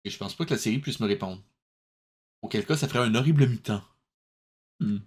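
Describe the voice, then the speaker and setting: A man's voice: lowish voice, fairly quick, darkish tone, a little hiss or hum. A man with a Quebec French accent hosting a comedic review, giving casual, analytical and sarcastic reaction commentary. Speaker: host of a comedic review show.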